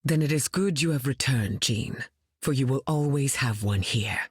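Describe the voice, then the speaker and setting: A dignified deep-voiced heroine. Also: female voice, lively intonation, breathy edge, dry recording, clean studio sound, exaggerated voice. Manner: dignified, measured. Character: dignified weather-controlling heroine woman.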